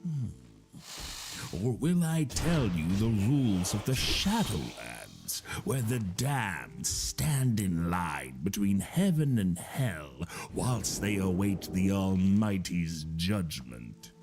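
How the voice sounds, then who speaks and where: A man's voice: low-pitched, sing-song, darkish tone, faint music behind, exaggerated voice. Man putting on a jovial old salesman. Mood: musing. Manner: slow, theatrical, grandly drawn-out. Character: jovial old male mysterious book salesman. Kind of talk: storytelling narration.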